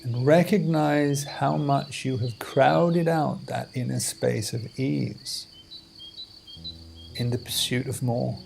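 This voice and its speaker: A man leading a guided meditation as a teacher, in measured, deliberate phrases with pauses between them. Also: medium pitch, gravelly, a little mellow, slight background noise. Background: music and outdoor insect sounds.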